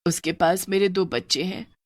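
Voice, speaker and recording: A woman's voice: fairly low pitch, normal speed, dry recording, clean studio sound, close to an everyday voice. A woman voicing a sorrowful long-armed female witch ghost who sells street snacks, in a plaintive tone.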